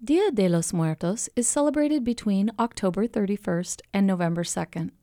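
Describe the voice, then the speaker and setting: Creaky, mellow woman narrator. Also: mid-range voice, animated, moderate pace, dry recording, clean studio sound. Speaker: podcast host. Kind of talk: scripted explanatory narration.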